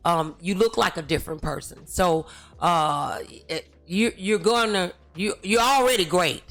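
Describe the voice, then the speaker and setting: A middle-aged woman, hosting a casual interview in a halting, teasing manner. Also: fairly low pitch, fairly bright tone, soft background music. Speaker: talk show host, relative of the guest. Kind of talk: casual interview chat, storytelling.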